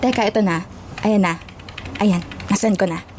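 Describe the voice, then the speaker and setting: A woman with a Filipino accent acting a sassy, frank young best friend in an audio drama, slangy and expressive. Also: mid-range voice, moderate pace, slight background noise, natural voice. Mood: playful, vain.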